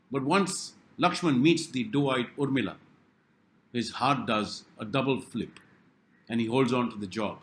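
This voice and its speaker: Man, scripted narration, wry and dramatic, deliberate pauses, roomy echo. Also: mid-range voice, fairly bright tone. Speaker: film review narrator.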